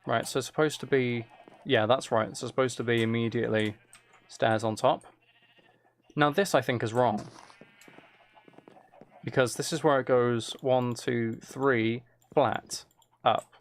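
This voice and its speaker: A man with noticeable fry in his mid-pitched voice talks casually through a game. He thinks aloud in loose fragments, correcting himself and chatting to viewers as he goes. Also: fairly even intonation, unhurried, long pauses. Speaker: streamer playing a building game.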